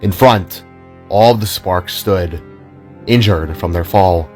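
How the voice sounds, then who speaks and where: A man narrating a scripted audio drama in a measured, theatrical storytelling manner. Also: low voice, darkish tone, faint music behind. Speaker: narrator and reader of all parts.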